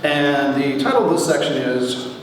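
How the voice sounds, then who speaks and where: A middle-aged man giving a wry, theatrical reading of his own comic memoir as its author, with deliberate pauses, recorded in a large echoey hall from a distance. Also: mid-range voice. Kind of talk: dramatic comic memoir reading to a live audience. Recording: echoey hall, distant mic, faint noise.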